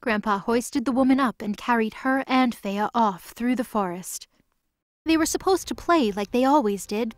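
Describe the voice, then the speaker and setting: Woman narrating a scripted fantasy story. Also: mid-range voice.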